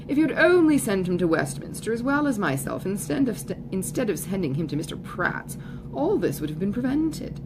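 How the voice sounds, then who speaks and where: A woman voicing a conceited, foppish young gentleman in an audiobook, with a pompous, affected, effusive manner. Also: medium pitch. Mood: pompous, self-assured. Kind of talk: audiobook character voice. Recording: clearly noisy recording, background ambience.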